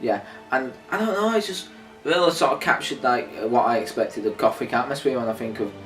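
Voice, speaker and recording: A man's voice: medium pitch, a little fast, a bit bright. A man hosting an unscripted, casual review chat as the presenter of a video. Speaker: video host. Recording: roomy echo, distant mic, music.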